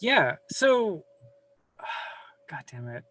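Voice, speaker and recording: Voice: mid-range voice, very animated, drawn-out words, a bit bright. A guest on a video call chatting casually, rambling and self-deprecating, with casual swearing, recorded at home. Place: home room with a server rack.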